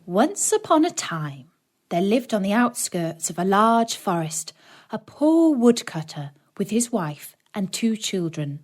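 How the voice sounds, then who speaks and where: A woman narrating a children's story expressively, gently addressing young listeners. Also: mid-range voice, very animated, unhurried.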